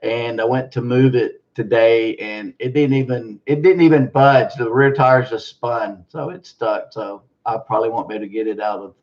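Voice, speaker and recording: A man's voice: medium pitch, fairly even intonation, normal speed, few pauses, darkish tone, muffled recording. A man with a Southern US drawl, co-hosting a home livestream, chatting in a joking, folksy way. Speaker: co-host, retiring from his job. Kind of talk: casual chat.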